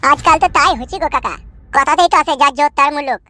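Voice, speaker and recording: A woman's voice: sits high, fast talker, sharp tone. A woman voicing a cheeky, aggrieved young village lad in a comic cartoon, chattering bluntly. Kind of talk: comic cartoon dialogue in rural dialect.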